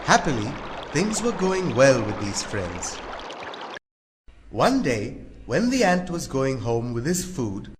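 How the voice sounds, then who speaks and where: A man's voice: mid-range voice, very animated, takes it slowly, slight background noise. A man narrating a scripted fable for children.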